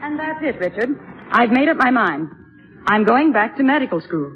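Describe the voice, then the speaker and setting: An actress playing a cool, sardonic, restless young wife in a 1950s radio drama, haughty and dryly mocking. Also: female voice, a little high, big pitch swings, moderate pace, faint music behind. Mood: restless, defiant. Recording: muffled, hissy old radio broadcast, long reverb.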